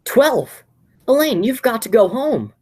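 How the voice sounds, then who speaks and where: A woman's voice: a little high, sing-song, a little slow, a little mellow, breathy edge, heavily acted. A teenage girl playing an exasperated young man in an amateur radio play, theatrical and sardonic. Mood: flustered.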